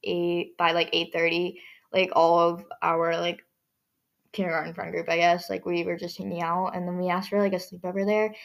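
Teen girl podcast host, hoarse scratchy voice, a touch low-pitched, loose rambling delivery. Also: flat delivery, moderate pace. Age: teens. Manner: rambling, many fillers and restarts. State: hoarse, strained voice. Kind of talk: casual solo podcast chat.

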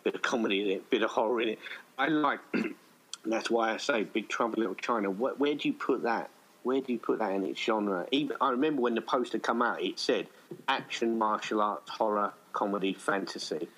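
A man's voice: lowish voice, fairly bright tone, poor audio. A middle-aged man chatting casually as an interview guest, talking in a rambling, conversational way that often circles back. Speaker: guest filmmaker being interviewed.

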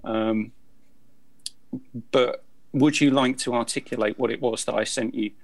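A man who hosts a casual group chat, brisk and organizing with dry humour. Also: mid-range voice, steady tone. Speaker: host keeping the show on track.

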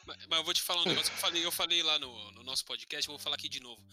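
A young man, co-hosting a podcast and talking in animated, slangy banter. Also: fairly high pitch, racing. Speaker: podcast co-host. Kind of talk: casual joking chat.

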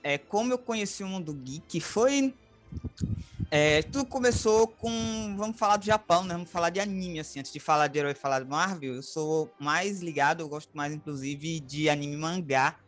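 A man's voice: a little high, moderate pace, fairly bright tone, soft background music. A man from Salvador with a northeastern Brazilian accent, giving a casual, conversational account of himself as an interview guest.

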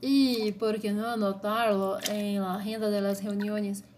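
Brazilian woman, Portuguese-accented, flat slow read, noisy recording. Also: mid-range voice. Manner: slow, deliberate reading in short phrases. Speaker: blogger. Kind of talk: read-aloud commentary.

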